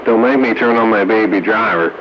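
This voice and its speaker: A man's voice: fairly low pitch, a little fast. A man chatting casually over two-way radio, passing the turn around a roundup. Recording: dull, noisy two-way radio audio. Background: clear noise.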